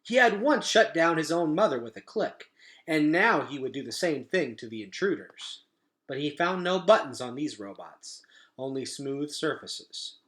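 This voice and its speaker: Man, children's read-aloud narrator, bright forceful tone, somewhat high pitch, expressive storybook delivery. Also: normal speed.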